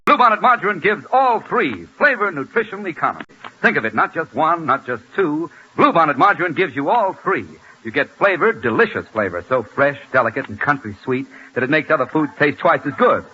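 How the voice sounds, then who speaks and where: Fairly high male announcer, American, emphatic sales pitch, vintage radio commercial, dull sound with faint noise. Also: big pitch swings, sharp tone. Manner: emphatic, deliberate sales delivery. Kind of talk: 1940s radio advertising read. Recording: muffled, faintly noisy 1940s radio broadcast.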